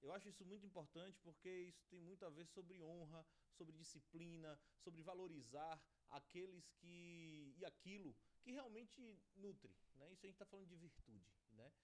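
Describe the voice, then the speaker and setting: A man's voice: high voice, normal speed, few pauses. A Brazilian man hosting a studio podcast interview, talking with reverence about honor and friendship. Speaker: podcast host.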